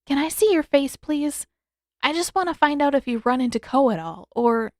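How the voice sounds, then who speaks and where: Woman voicing an earnest, higher-pitched young boy. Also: expressive, normal speed, no echo, studio quality. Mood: timid, polite. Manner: hesitant, questioning, polite. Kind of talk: fan fiction audiobook character voice.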